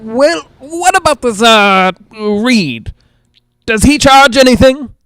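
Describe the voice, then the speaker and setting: A man's voice: very high-pitched, expressive, slow pace, a bit bright, clear, resonant tone, no room sound, studio quality, exaggerated voice. A man playing a grumpy, anxious, penny-pinching property owner in a 1940s-style radio drama, curt and suspicious.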